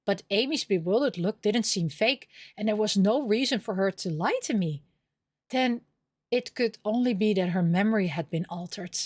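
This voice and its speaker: A woman's voice: medium pitch, moderate pace, a little mellow, no echo. A woman reading a visual novel aloud as a gaming host, with casual commentary of her own.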